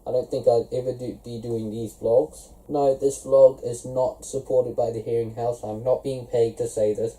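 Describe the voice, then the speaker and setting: A young man from South Africa explaining things step by step in a relaxed, casual vlog. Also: mid-range voice, moderate pace, few pauses, low-quality recording. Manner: relaxed, explaining step by step, many "so" and "of course" links. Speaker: vlogger.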